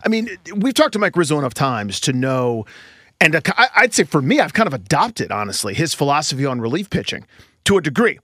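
A man's voice: on the high side, sing-song, fairly quick, pushed and bright, breathy edge, no echo, studio quality. A man co-hosting a sports podcast, chatting casually and emphatically about baseball in colloquial, hyperbolic language.

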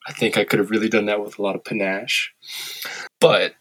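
A man with a low, slightly husky voice talks in a relaxed interview. He is reflective and wry, and turns blunt now and then. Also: fairly quick, rough recording. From Korea. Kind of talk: candid conversational interview. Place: lives in Tokyo.

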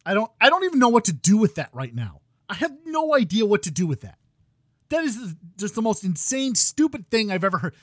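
A man in his thirties hosting a gaming podcast, ranting loudly with exasperation while losing his voice. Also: sits high, very animated, brisk, no room sound, studio quality. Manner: loud exasperated ranting, swings to quiet muttering. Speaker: podcast host.

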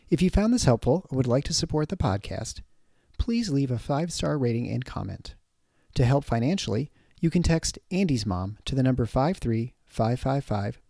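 A man's voice: medium pitch, vocal fry, dark tone, no room sound, studio quality. A man reading a scripted podcast outro. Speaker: podcast announcer.

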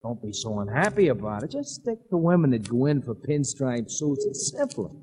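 An actor playing a detective's brash, blunt American sidekick in a 1940s radio drama, with slangy streetwise talk. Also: male voice, medium pitch, wildly swooping pitch, moderate pace, very noisy. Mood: breezy, urging.

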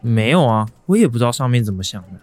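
A man's voice: medium pitch, big pitch swings, fast talker, darkish tone, faint music behind, natural voice. An actor with a Taiwanese Mandarin accent playing a startled, obliging older office man in a radio drama. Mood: flustered. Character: flustered, obliging older male office contact.

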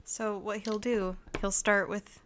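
A woman co-hosting a casual podcast chat, telling animated stories, acting out what she says and laughing a lot. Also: medium pitch, takes it slowly, a little hiss or hum. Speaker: podcast co-host.

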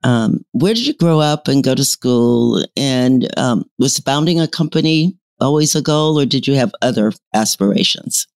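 A woman hosting an interview podcast, encouraging and unhurried, with halting, searching phrasing. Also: very deep voice, expressive, a little mellow, dry recording.

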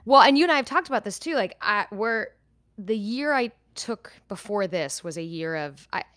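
A woman's voice: medium pitch, lively intonation, moderate pace, fairly bright tone, dry recording. Candid woman podcast co-host, dry sound. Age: 30s. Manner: candid, talks in starts and restarts. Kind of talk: casual chat and reading a letter aloud.

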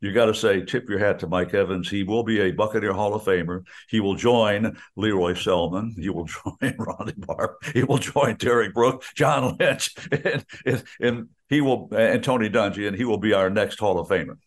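A man's voice: lowish voice, normal speed, few pauses. An older man, a veteran radio announcer, chatting in a folksy, enthusiastic way and often restarting his sentences. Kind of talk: casual chat on a radio show.

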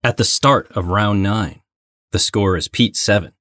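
A man's voice: fairly low pitch, measured pace, sharp tone, dry recording, clean studio sound. A man co-hosting a casual trivia game, talking in a playful, exclamatory way. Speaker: co-host of a trivia game podcast.

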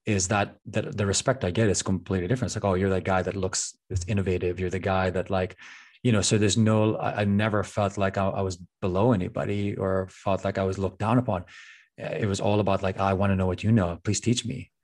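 A man's voice: deep voice, level tone, fairly quick, darkish tone. A man from the Philippines, a podcast guest, talks about identity in an earnest, searching way and often repeats words as he gathers his thoughts. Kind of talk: reflective casual conversation.

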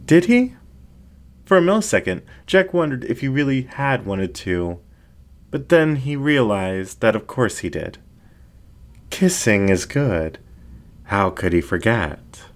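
A man reading a scripted story aloud as a dramatic narrator. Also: medium pitch.

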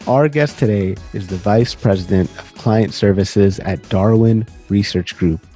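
A man hosts a podcast interview in a very low, husky voice. He opens with an upbeat scripted welcome and moves into friendly, easygoing questions. The sound is dry and close, with faint music underneath. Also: warm tone, no room sound. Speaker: podcast host.

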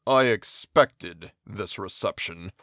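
A man voicing an anguished, towering male creature who speaks slowly and solemnly in an audiobook reading. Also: lowish voice, a bit bright, no room sound, studio quality, voice pushed down. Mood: bitter. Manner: slow, heavy, solemn delivery.

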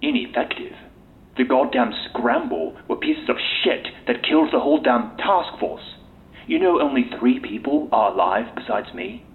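A man's voice: mid-range voice, sing-song, normal speed, vocal fry. A man playing a bitter, cynical, foul-mouthed military officer being interviewed in an audio drama. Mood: furious, sarcastic. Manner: cynical, exasperated, sweary. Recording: tinny lo-fi interview tape with hiss.

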